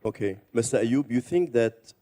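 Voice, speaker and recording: A man's voice: mid-range voice, lively intonation, moderate pace, warm tone. A man moderating a conference panel, giving directions and asking short questions. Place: conference hall.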